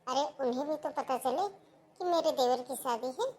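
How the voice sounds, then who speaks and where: A woman's voice: high voice, normal speed, darkish tone, breathy edge. A woman voicing a whiny young daughter-in-law in a cartoon who complains and pleads. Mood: eager. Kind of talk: cartoon voice acting.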